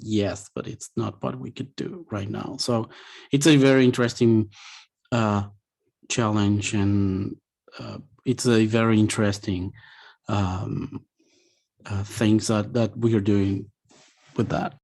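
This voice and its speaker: A man's voice: lowish voice, fairly even intonation, takes it slowly, creaky, a little mellow. A man from Argentina, a native Spanish speaker, answering interview questions over a video call in English and searching for words. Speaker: company representative guest.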